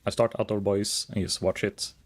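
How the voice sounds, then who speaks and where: A calm, soft-spoken man chatting casually while streaming a game, rambling and thinking aloud with many fillers. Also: on the low side, moderate pace. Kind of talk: casual gaming stream chat.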